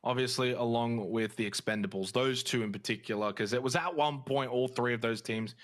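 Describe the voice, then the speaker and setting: A man's voice: mid-range voice, brisk. A man giving conversational, explanatory post-match analysis as an esports commentator. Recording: music behind the voice. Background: background music.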